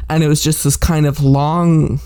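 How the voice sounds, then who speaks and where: A relaxed podcast host talks in a loose, casual way. The host is self-deprecating and drops a swear word without fuss. The voice sits at an ordinary pitch. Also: normal speed.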